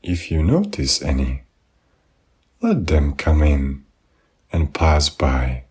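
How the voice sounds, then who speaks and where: A man leading a guided meditation, giving gentle, unhurried instructions with pauses. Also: very deep voice, big pitch swings, breathy edge. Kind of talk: guided body scan meditation.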